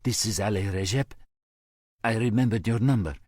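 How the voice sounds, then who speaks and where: A man's voice: lowish voice, normal speed, darkish tone, husky, dry recording, clean studio sound, pitched above the performer's own voice. A man voicing a timid, grieving young man with a thick accent, speaking hesitantly and stammering. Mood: tentative.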